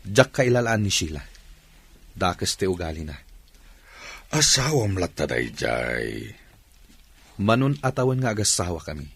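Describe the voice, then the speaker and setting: A troubled husband in a radio soap. His voice is very low and husky, his delivery earnest and heavy-hearted. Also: sing-song, unhurried, long pauses, exaggerated voice. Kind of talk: Ilocano radio drama acting.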